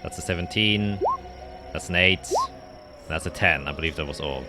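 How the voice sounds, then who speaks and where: A man's voice: sits low, normal speed, over loud music. A man narrating a tabletop roleplaying game as game master, describing scenes and calling out dice results.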